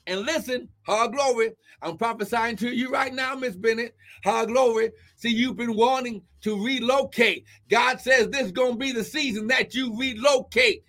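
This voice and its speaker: A man preaching a prophetic sermon who reads scripture and then riffs on it, breaking out in shouts of "glory" and "oh my God". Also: extremely high pitch, moderate pace, hardly any pauses.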